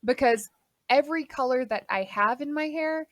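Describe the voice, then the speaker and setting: A young woman in her late twenties, talking on a podcast in a bright, somewhat high voice. She's animated and swears freely. The recording is dry and close. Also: normal speed, no echo. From Texas. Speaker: podcast co-host. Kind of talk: casual chat. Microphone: studio mic.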